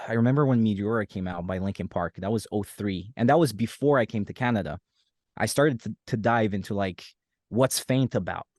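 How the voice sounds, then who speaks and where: A man's voice: fairly low pitch, no room sound, clean studio sound. A man in his thirties with a Romanian accent, chatting easily as a podcast guest and agreeing often. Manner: relaxed, agreeable, many quick "yeah"s. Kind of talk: casual chat.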